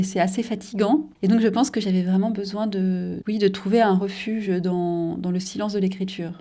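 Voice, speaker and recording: A woman's voice: medium pitch, a little mellow. Thoughtful French woman novelist, interviewed. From Paris suburbs, France. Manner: thoughtful, hesitant with many fillers. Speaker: novelist and journalist.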